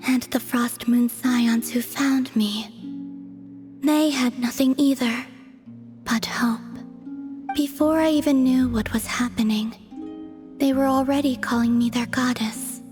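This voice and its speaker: Woman as slow, whispery, melancholy moon goddess. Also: fairly high pitch, fairly even intonation, natural voice. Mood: wistful, melancholy. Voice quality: soft, breathy, whispery. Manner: slow, poetic, measured. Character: young female moon goddess, solemn, melancholy, enigmatic, detached. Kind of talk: English video game voice acting. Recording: game music behind the voice. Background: background music.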